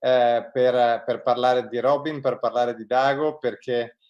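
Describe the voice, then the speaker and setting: A man whose native language is Italian, speaking haltingly with many pauses as a guest on a video call. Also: medium pitch, level tone, darkish tone, dull audio. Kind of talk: live online panel conversation.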